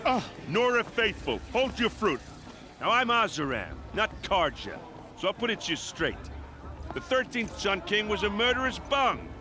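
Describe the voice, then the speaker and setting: An actor playing a plain-spoken, brash envoy in a video game, addressing a crowd in a blunt, rousing, folksy way. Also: male voice, high voice, very animated, moderate pace. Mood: blunt, commanding. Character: brash foreign envoy, plain-spoken male warrior orator.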